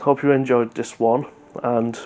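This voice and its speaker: Flat-toned man reviewing casually. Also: mid-range voice, a little slow. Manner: hesitant, rambling, matter-of-fact. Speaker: YouTube reaction host.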